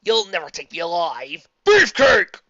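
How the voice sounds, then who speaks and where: A shrill goofy young man, screeching, sing-song, very high and wild, dull lo-fi sound. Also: very animated, moderate pace, bright, forceful tone. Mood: manic. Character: whiny goofy hyper young man with cleaver. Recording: dull lo-fi dry recording.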